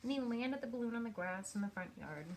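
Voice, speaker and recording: A woman reading a children's picture book aloud in an expressive storytelling manner, with pauses for effect. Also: a little high, slight background noise. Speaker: storybook reader.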